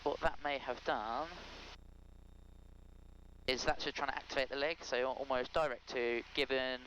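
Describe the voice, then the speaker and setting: A man's voice: medium pitch, fairly even intonation, moderate pace, fairly bright tone, muffled recording, slight background noise. A man in his thirties on an aviation headset in a small plane, briefing procedures in methodical, clipped checklist phrases. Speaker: pilot. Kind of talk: procedural cockpit briefing between pilots. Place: small light aircraft cockpit in flight. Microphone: aviation headset boom mic.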